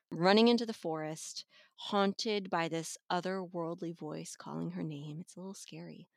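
A woman's voice: on the low side, no room sound, clean studio sound. A middle-aged woman talking casually as an audiobook reviewer.

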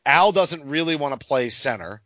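A man's voice: a little high, expressive, normal speed, a bit bright, no echo, lo-fi sound. A man hosting a casual sports podcast, talking in an informal, blunt and opinionated way. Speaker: podcast host.

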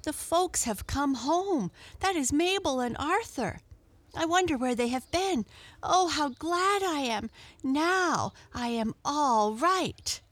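Plucky, gentle storybook cat, played by a woman, earnest self-talk with animated pitch. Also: slow pace, no echo. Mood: delighted relief. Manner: talks to herself in simple, earnest sentences. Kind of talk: children's storybook character reading.

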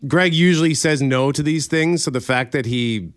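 A man's voice: on the high side, moderate pace, no room sound, clean studio sound. A man co-hosting a morning radio show in casual chat, rambling and correcting himself, chuckling now and then. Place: radio studio. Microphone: studio microphone.

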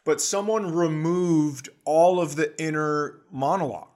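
A man's voice: a little high, unhurried, a little mellow. Wry man chatting on a comedy podcast. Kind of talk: casual comic chat.

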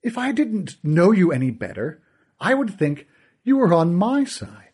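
A man voicing a scheming villainous uncle, speaking in a slow, dripping, sneering way. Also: high voice, sing-song, darkish tone, husky, pitched above the performer's own voice, full character voice. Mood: smug, mocking. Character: oily scheming villainous uncle, smug and mocking.

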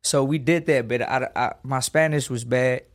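A man in his twenties from Los Angeles talks in an easy, streetwise way as an interview guest. His voice sits at a middle pitch, and he punches his points with emphasis and slang. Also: fairly even intonation, normal speed. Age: 20s. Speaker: boxer guest. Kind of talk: casual interview.

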